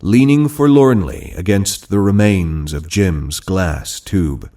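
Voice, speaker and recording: A man's voice: low-pitched, slow pace, dark tone, far from the mic. A man narrating a war adventure audio drama in a dramatic, scripted storytelling style. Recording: music under the voice.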